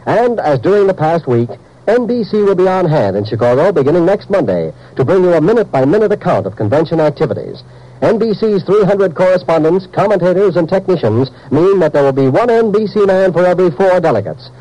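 A man's voice: high-pitched, big pitch swings, fairly quick, dark tone, lo-fi sound. A man with an American accent reading a formal, emphatic 1950s radio network announcement.

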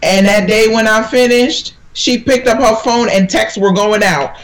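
A woman minister, born in Jamaica, speaks on a panel in an earnest, sermon-like way. She leans on scripture as she talks. Her voice sits high for a speaker. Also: measured pace. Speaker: minister, guest. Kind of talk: conversational panel talk with preaching.

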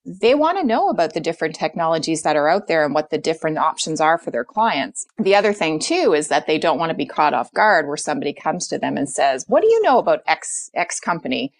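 A woman's voice: low-pitched, sing-song, quick pace, hardly any pauses, no room sound. A woman guest consultant answering podcast questions in a chatty way, often repeating words and using fillers. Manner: repeats words and uses fillers while explaining.

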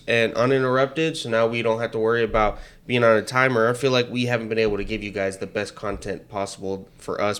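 Energetic man hosting a podcast. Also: clean tone. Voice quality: higher voice than his co-host. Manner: energetic, talks in bursts with frequent restarts. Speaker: podcast co-host. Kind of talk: casual political podcast chat. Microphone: headset mic with headphones.

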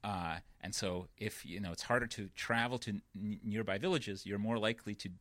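A man's voice: on the low side, normal speed, fairly bright tone. A man, a guest on a podcast, explaining ideas patiently and often checking in with "right?" and "you know". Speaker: guest researcher. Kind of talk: explanatory interview talk.

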